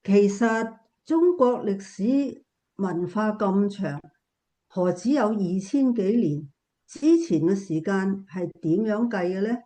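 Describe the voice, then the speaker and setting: Woman as a mellow, patient Cantonese relative. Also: medium pitch, animated, slow. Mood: probing, patient. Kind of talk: radio drama acting.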